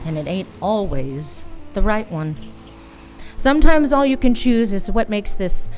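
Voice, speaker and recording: A woman's voice: medium pitch, measured pace, a little mellow, faint music behind, close to an everyday voice. An actress playing a bitter, world-weary woman detective in a noir radio drama, with a cynical, brooding delivery. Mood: bleak, bitter.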